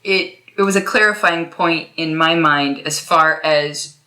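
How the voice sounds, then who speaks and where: Podcast host, woman, bright tone, thoughtful summarizer, echoey room. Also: deep voice, measured pace. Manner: reflective, summarizes the guest's points at length. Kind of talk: interview conversation. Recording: roomy echo.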